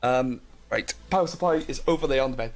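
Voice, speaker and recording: A young man talks on a live stream in a chatty, rambling way, full of "um" and "like" and half-finished starts. His voice sits at an ordinary middle pitch. Faint music plays quietly underneath him. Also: fast. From Wales. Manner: rambling, chatty, lots of fillers and restarts, laughs often. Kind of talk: casual live stream chat.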